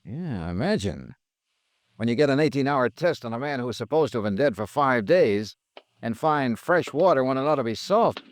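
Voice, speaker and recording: A man's voice: mid-range voice, lively intonation, moderate pace, dry recording, close to an everyday voice. An American actor playing a shrewd, wry freelance insurance investigator narrating his case in a 1950s radio drama. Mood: wry, thoughtful. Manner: hard-boiled, dryly wry. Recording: vintage radio recording, faint music.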